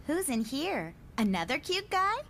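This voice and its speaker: A woman voicing a curious, excitable teenage girl, a new student in a motion comic. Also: high voice, measured pace, slight background noise, exaggerated voice. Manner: inquisitive, eager.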